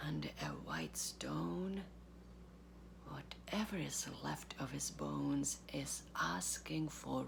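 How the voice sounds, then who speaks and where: Very slow, gravelly low-voiced woman reading poetry. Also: background noise. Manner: deliberate, measured phrasing with long pauses. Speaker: artistic director of a theatre, reading aloud.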